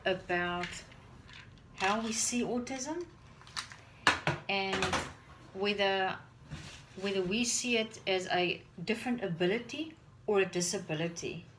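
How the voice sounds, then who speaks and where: A woman's voice: medium pitch, slow pace, pauses often, background noise. A woman in her forties, a native Afrikaans speaker, talking earnestly to a phone camera in her home kitchen while doing chores, often repeating words as she searches for them. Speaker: parent vlogger. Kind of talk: casual talk to camera. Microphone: phone camera on the counter.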